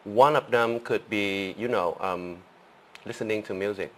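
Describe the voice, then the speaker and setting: A man's voice: lowish voice, a little slow. A Vietnamese man with a Vietnamese accent, co-hosting a live English lesson and explaining things in simple words as he rambles. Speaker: co-host.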